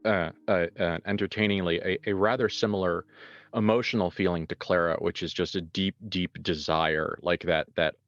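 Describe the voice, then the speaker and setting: A man improvising tabletop horror narration as game master in a conversational, halting way, reworking his phrases as he goes. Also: low-pitched, fairly even intonation, fairly bright tone, no echo. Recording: muffled lo-fi sound, music behind. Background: background music.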